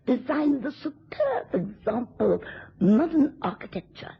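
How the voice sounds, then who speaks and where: A husky-voiced drunken wife in an old radio play, spiteful and taunting. Her pitch swoops wildly as she drawls sarcastic endearments, and the recording is dull and lo-fi. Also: sing-song, measured pace, heavily acted. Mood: tipsy, mocking. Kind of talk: radio drama acting.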